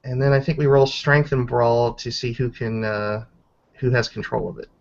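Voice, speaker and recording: A man's voice: mid-range voice, flat delivery, normal speed, vocal fry. A man acting as game master, narrating a tabletop roleplaying game casually and swearing freely. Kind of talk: casual live game narration with dice counting. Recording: dull lo-fi voice chat audio.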